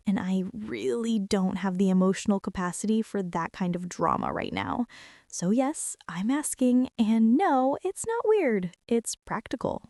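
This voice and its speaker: Woman acting a bossy, flustered college girl, mellow voice. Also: medium pitch, no room sound, clean studio sound, lightly acted. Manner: defensive, bossy, rambling, teasing. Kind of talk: girlfriend ASMR roleplay, intimate monologue to the listener.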